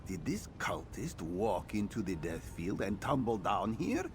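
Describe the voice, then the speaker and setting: An actor playing a sly, thoughtful cat-folk scout in a video game, theatrical and musing in manner. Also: male voice, fairly low pitch, sing-song, unhurried, soft background music. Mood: musing, puzzled. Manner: theatrical, musing, refers to himself in third person. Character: sly, thoughtful cat-folk male scout, speaks of himself in third person. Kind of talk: video game character dialogue.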